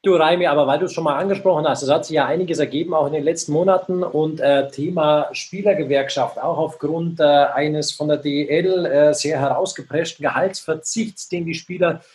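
Man, podcast co-host, moderately high voice, casual interview questions. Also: fairly quick, few pauses, darkish tone.

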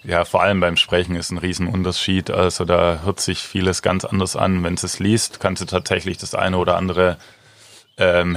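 A man in his thirties answering interview questions in a relaxed, hesitant way with many fillers. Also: low voice. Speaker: handball player, guest.

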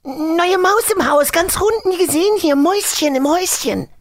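An actor voicing a small excitable cartoon mouse in an audio play, chattering in simple, repetitive rhymes with a squeaky, husky voice. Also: normal speed. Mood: eager. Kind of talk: animated film dubbing in an audio play.

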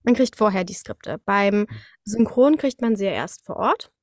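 A young woman chatting casually as a guest, telling anecdotes in a lively, slangy way. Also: mid-range voice, moderate pace, dry recording, studio quality. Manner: animated storytelling with slangy, colloquial wording. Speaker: guest, voice actress.

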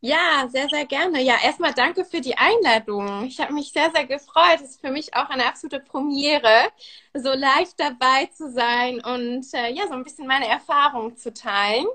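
A woman's voice: sits high, moderate pace, pushed and bright. A woman in her twenties from Switzerland with a Swiss German accent, a native speaker of Swiss German and French, chatting as a guest in a live interview with many fillers and restarts. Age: 20s. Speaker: podcast guest.

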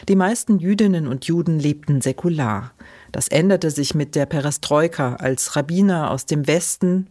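A woman hosting a short documentary format, reading scripted narration in an even, measured way. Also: low voice. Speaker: host, narrator.

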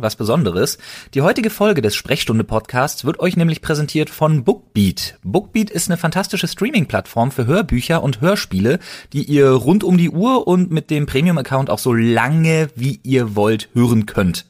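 A man's voice: mid-range voice, sing-song, normal speed. A young man hosting a podcast in a casual, chatty style. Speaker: podcast host.

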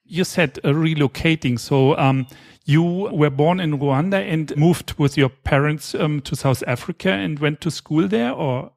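Man interviewer, gravelly, slightly high voice, halting questions. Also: a little mellow.

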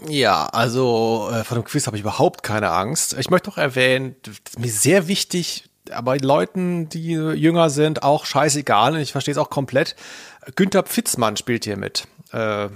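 Male co-host, mid-pitched voice, informal conversational podcast delivery. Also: lively intonation, normal speed. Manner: informal, colloquial. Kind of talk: casual chat.

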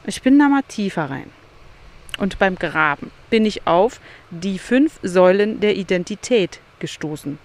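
A woman's voice: medium pitch, animated, measured pace. A woman hosting a solo podcast, thinking aloud in a candid, self-questioning way, recorded outdoors in a beach chair by the sea. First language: German. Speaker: podcast host. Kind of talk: solo reflective podcast monologue.

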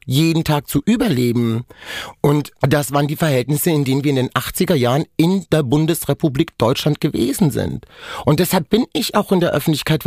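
A man's voice: fairly high pitch, darkish tone, no room sound, clean studio sound. Impassioned man in his forties. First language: Romani. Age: 40s. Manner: impassioned, emphatic, speaks in long building sentences. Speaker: podcast guest. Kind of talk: personal testimony in conversation.

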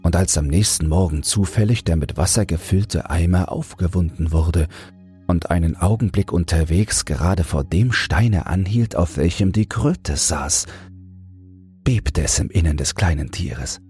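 Male audiobook narrator for bedtime fairy tales: very low voice, calm, measured, soft music behind. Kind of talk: scripted fairy-tale narration for falling asleep.

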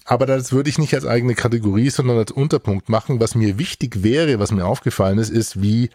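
A man's voice: medium pitch, fairly quick, a little mellow, no echo, clean studio sound. A man hosting a casual podcast review, chatty and thinking aloud as he talks.

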